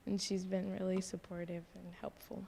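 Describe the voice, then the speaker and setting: A woman's voice: medium pitch, level tone, moderate pace, creaky, dark tone, slightly rough. A woman who is a student musician on a panel, talking casually with frequent giggles. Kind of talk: live panel discussion. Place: large hall. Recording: long hall reverb from a live panel.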